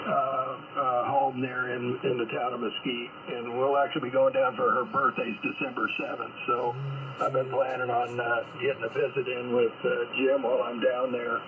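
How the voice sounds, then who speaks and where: A man's voice: mid-range voice, level tone, normal speed. Male ham operator, casual rambling chat, tinny radio line with hiss. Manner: rambling, many fillers.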